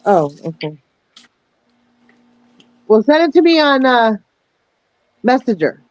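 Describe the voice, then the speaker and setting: A woman's voice: a little high, big pitch swings, slow pace, dry recording. A woman chatting casually on a livestream, playfully reading out would-you-rather questions. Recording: dull lo-fi call audio.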